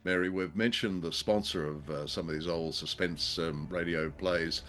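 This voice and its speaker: A man's voice: low-pitched, steady tone, normal speed, fairly bright tone. A man co-hosting a conversational discussion show, speaking hesitantly with wry humour. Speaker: podcast co-host. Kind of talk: conversational discussion of old radio drama.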